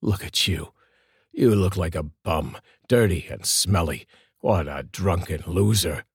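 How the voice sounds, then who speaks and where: A man playing a menacing, greedy thug who sneers and insults, with slow threats. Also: deep voice, lively intonation, slightly rough, no echo, clean studio sound, full character voice. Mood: sneering threat.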